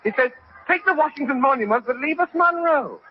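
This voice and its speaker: Frantic, very high-pitched gentleman friend. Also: lively intonation, a little fast. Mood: alarmed. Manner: urgent, alarmed, exclamatory. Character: frantic, excitable gentleman friend halting a wedding. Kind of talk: 1950s radio comedy acting. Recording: dull, noisy old radio recording.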